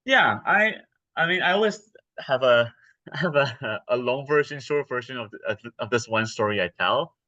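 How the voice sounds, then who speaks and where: Hesitant man, bright and dry. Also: medium pitch, animated, moderate pace, no room sound, lo-fi sound. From Taiwan. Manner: hesitant, many ums and restarts. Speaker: interview guest, actor. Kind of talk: casual interview answers.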